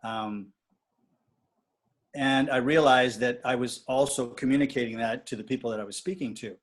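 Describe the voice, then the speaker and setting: Guy talking things through slowly, lots of ums and restarts, casual chat. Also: medium pitch, fairly even intonation. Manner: reflective, halting with many restarts and fillers. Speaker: client of a dating coach. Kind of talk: reflective casual conversation.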